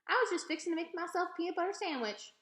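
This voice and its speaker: Woman reader as welcoming old country granny, storybook character, folksy, bright tone, roomy sound. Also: extremely high pitch, expressive, very fast, voice pushed up. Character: old toothless Southern woman, kindly, amused, welcoming.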